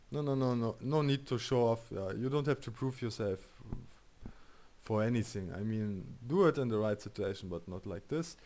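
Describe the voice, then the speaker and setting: A man's voice: mid-range voice, a little mellow. A man with a German accent streaming a game, joking and reading the choices aloud as he thinks them over. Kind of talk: casual let's-play commentary.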